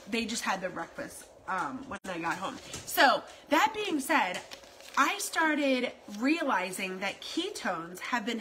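Bright-voiced chatty woman selling on a phone live. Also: on the high side, a little hiss or hum, low-quality recording. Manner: chatty, rambling, repetitive, salesy. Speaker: wellness product seller. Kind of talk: casual live video promo. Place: indoors at home, kitchen. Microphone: phone camera at arm's length.

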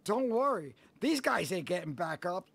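Tough, animated, impatient woman. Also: fairly low pitch, normal speed, a little hiss or hum. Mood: impatient, alarmed. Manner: urgent, impatient. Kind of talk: video game cutscene acting.

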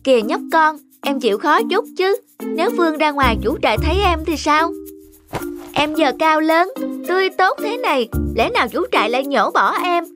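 A woman's voice: high voice, animated, moderate pace. A woman voicing a young, earnest cartoon plant with an exclamatory, plaintive, childlike manner. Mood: insistent. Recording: music behind the voice.

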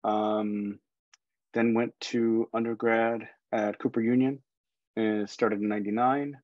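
A man's voice: on the low side, level tone, slow pace, no room sound, dull audio. A man in his forties from Ecuador, an artist speaking as a guest on a video call, reflecting thoughtfully on his life. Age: 40s. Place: home room.

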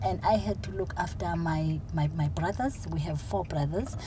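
A woman's voice: fairly low pitch, normal speed. A middle-aged woman with a South African accent, an interview guest talking earnestly and often repeating words as she starts a sentence, recorded on a lapel mic in the back seat of a car. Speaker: interview guest, city council official. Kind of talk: conversational interview. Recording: car with road noise behind.

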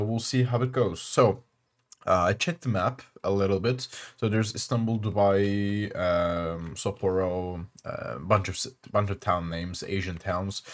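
Slow, deep-voiced Turkish man. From Turkey. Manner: halting, many fillers and restarts. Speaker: channel host. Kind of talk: casual gameplay commentary.

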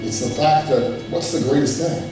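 A man's voice: a little high, normal speed. A man telling his personal testimony as a father, plainspoken and earnest, with moments of strong emotion. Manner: plainspoken, earnest, emotional, quotes others in lively voices. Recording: echoey and distant with music underneath. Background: soft music.

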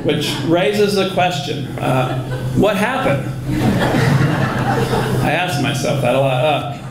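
A man in his forties speaks at a podium in a school hall, with a slightly raised pitch, earnest and self-deprecating in tone. The handheld mic picks up plenty of room echo, and steady background noise sits under his voice. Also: slow. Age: 40s. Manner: earnest, self-deprecating, chuckles now and then. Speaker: alumni award recipient, education researcher. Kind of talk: award acceptance speech. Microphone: handheld microphone.

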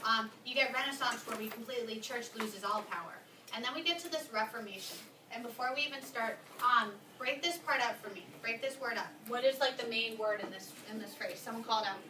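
A brisk young woman leads a history lesson and keeps throwing questions at her class. Her voice sits a little high. The distant mic catches plenty of room echo and classroom noise. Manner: brisk, prompting students with questions. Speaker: history teacher.

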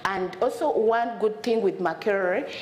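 A woman's voice: mid-range voice, a little slow, slight background noise. Earnest South Sudanese woman on a panel. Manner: earnest, repeats words as she searches for them. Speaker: panelist, refugee student advocate. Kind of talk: panel discussion talk. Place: conference stage with audience. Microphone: lapel mic. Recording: roomy conference hall with faint ambience.

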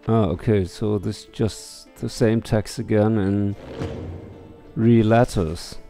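A man's voice: fairly low pitch, vocal fry, mellow. A middle-aged man giving casual, musing game commentary on a headset mic, reading text aloud as he goes. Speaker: let's play streamer. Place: indoor room. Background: faint game music.

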